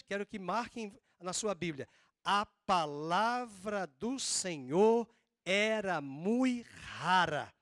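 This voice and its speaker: A man preaching a sermon as pastor, with a drawn-out Northeastern Brazilian accent and slow, emphatic stresses. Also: high voice, fairly bright tone, no room sound, studio quality. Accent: Northeastern Brazilian Portuguese.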